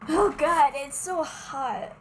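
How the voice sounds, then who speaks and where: A woman's voice: high-pitched, slow pace, a little hiss or hum. A young woman giving casual live gaming commentary as a streamer, playful, slangy and exclamatory. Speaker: game streamer.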